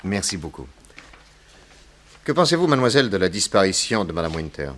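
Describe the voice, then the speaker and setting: Low voiced polite private eye, measured and deferential, radio detective play. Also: male voice, sing-song, fairly bright tone. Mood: polite, probing. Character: courteous, shrewd, quietly probing male private detective. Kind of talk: French radio detective drama acting.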